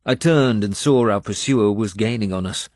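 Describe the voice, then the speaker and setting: A man reading a scripted first-person audiobook in a measured storytelling manner. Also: fairly low pitch, a little mellow, no room sound, studio quality. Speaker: audiobook narrator.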